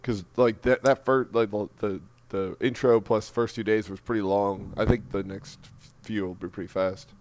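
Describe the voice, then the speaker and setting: A man's voice: lowish voice, steady tone, moderate pace, darkish tone, faint music behind. A man on a podcast panel chatting casually, often stopping to correct and restart his phrasing. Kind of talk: casual group discussion.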